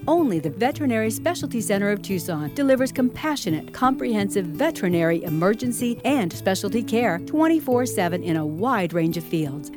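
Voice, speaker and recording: A woman reading a scripted radio ad, warm and clear. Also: mid-range voice. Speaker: ad announcer.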